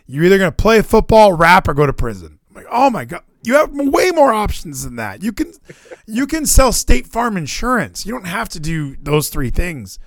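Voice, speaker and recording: Animated, higher-voiced American man chatting sports. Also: moderate pace, no room sound. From Hawaii, United States. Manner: rambling, animated, profane, opinionated. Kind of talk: casual sports chat.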